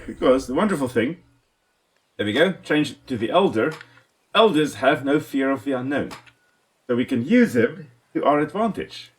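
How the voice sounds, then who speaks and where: A South African man whose first language is Afrikaans hosts a gameplay video. He talks in a casual, enthusiastic way, even chatting to the animals on screen, with a very animated, swooping delivery at an ordinary middle pitch. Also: normal speed, rough recording. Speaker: let's play host and ecologist.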